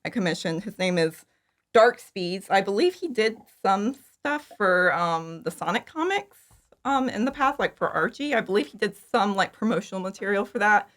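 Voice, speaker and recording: A woman speaking as a guest in a casual interview, giggly, with animated asides and lots of "like". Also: medium pitch, normal speed. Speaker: podcast guest, fan audio drama creator.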